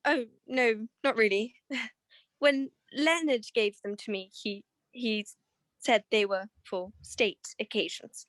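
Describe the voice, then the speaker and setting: A woman's voice: on the high side, slow, a bit bright, dry recording. A woman playing an evasive, anxious, well-to-do married client in a radio detective drama, speaking in a genteel, hesitant way. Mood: flustered, evasive.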